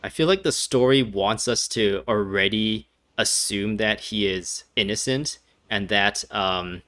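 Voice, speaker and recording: A man's voice: fairly low pitch, measured pace, bright, forceful tone, dry recording, studio quality. A young man doing casual game commentary, rambling and hesitant as he thinks aloud. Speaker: gaming video host.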